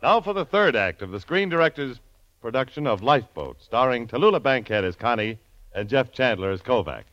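Male American radio announcer, mid-century style, very animated pitch, emphatic polished selling, dry but dull vintage sound. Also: brisk, over music. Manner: polished salesman delivery with emphatic phrasing. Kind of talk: 1940s-50s scripted radio announcing and advertising.

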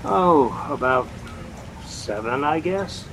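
An actor with an American accent playing a jealous, bumbling young husband, sulky, boastful and sheepishly stammering, in a 1940s radio comedy. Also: male voice, fairly high pitch, animated, slow pace, a bit bright, exaggerated voice. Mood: sheepish, evasive. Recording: noisy old-time radio recording.

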